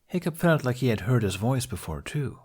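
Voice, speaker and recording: A man reading scripted fiction aloud as an expressive podfic narrator. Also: mid-range voice, a little fast, slightly rough.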